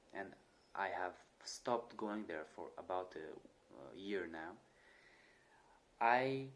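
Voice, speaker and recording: A young man with a fairly low voice hosts his own channel. He speaks earnestly and reflectively about personal experience. Small stutter-like repetitions and restarts sometimes break his flow. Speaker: channel host. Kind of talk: personal talk to camera.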